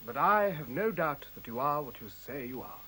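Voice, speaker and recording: Awed, courteous scientist, sharp and slightly raspy, formal, radio play. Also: male voice, on the high side, lively intonation, normal speed, full character voice, low-quality recording. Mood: amazed. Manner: formal, wondering.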